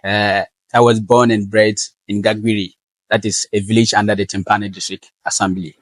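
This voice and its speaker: Young Ghanaian man, low voice. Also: level tone, no echo, rough recording. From northern Ghana. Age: young adult. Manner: earnest and measured, with frequent fillers. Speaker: guest, valedictorian student. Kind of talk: interview answers.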